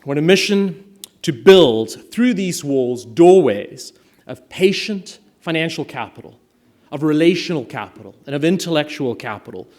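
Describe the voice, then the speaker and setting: A middle-aged South African man, raised speaking Afrikaans, delivers a prepared keynote with a somewhat raised voice. He speaks in a measured, emphatic way, leaning on key words and pausing deliberately between phrases. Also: a little high, lively intonation, a little mellow. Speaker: conference speaker.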